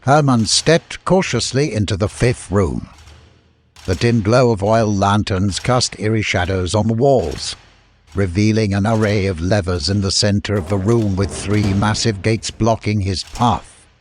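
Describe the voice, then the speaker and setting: A man's voice: fairly low pitch, unhurried, slight background noise. A man reading scripted fiction as a narrator, in dramatic audiobook style.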